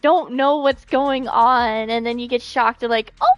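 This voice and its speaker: A woman chatting casually on a game live stream, with a giggly, excitable manner. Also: a little high, measured pace, soft background music. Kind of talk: casual live-stream chat, reading out comments.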